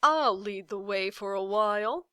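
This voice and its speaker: A woman's voice: medium pitch, measured pace, sharp tone, smooth, no echo, studio quality. A woman voicing a confident, self-important bug in a children's storybook. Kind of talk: children's storybook read-aloud.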